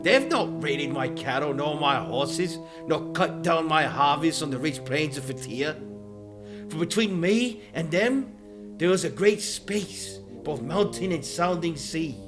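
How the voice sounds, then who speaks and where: A proud, defiant warrior hero acted by a man from an epic audiobook drama. His voice is fairly high for a man, and he delivers bold, indignant oratory. Music plays beneath him. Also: lively intonation, unhurried. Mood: contemptuous, angry. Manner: declamatory, forceful oratory.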